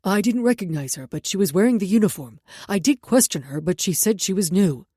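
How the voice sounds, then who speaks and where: A woman's voice: mid-range voice, lively intonation, a little fast, warm tone, husky, no room sound, studio quality. A woman reading the part of a nervous male security guard who apologizes and stammers. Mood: apologetic.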